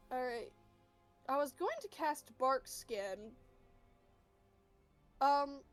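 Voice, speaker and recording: A woman playing a tabletop roleplaying game, chatting casually with a dramatic, pleading way of talking. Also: high voice. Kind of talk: casual group game chat.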